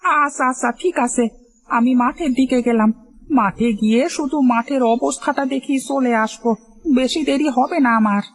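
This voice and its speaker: A woman voicing an excitable, anxious but eager village farmer husband in a cartoon story, exclaiming and repeating words. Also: high voice, normal speed, no room sound. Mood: matter-of-fact. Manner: exclamatory, lamenting and calling out in repeats.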